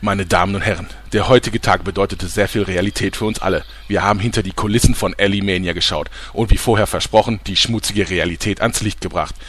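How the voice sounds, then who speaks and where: An actor playing a pushy TV reporter with a dramatic newsreader tone in a comedy audio drama. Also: male voice, deep voice, steady tone, fast, hardly any pauses, a bit bright.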